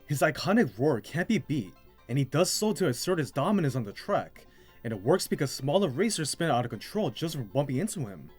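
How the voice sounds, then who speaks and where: A man's voice: fairly high pitch, sing-song, fairly quick. A man delivering scripted narration as the host of a video game commentary. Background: music.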